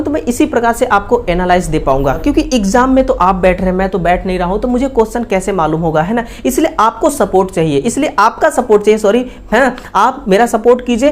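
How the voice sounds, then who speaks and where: A woman with an Indian accent teaching exam questions, repeating key facts as quick rhetorical questions with frequent checking tags. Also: medium pitch. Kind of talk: exam question analysis lesson.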